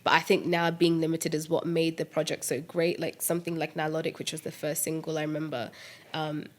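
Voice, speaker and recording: Animated young woman, laughs a lot. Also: low-pitched, a little fast. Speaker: musician and producer guest. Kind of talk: casual interview chat.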